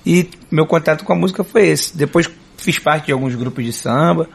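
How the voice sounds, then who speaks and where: A man's voice: a little high, fairly quick, vocal fry, husky. A man with a Rio de Janeiro accent, speaking casually as a radio guest, informal, talkative and earnest. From Niterói, Rio de Janeiro state, Brazil. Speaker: interview guest, samba singer and musician. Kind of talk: casual chat.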